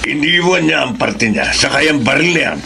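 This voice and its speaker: A man acting a bossy, suspicious robber in a radio drama, with a gravelly voice and a Filipino accent. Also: mid-range voice, animated, fairly quick, sharp tone. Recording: clearly noisy radio drama track.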